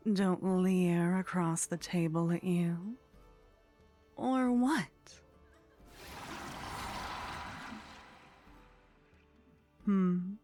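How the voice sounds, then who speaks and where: Woman acting a slow, breathy, flirtatious vampire lady. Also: mid-range voice, a little mellow. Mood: startled, indignant. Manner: slow, teasing, breathy murmur slipping into whispers. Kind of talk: ASMR roleplay. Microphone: close microphone.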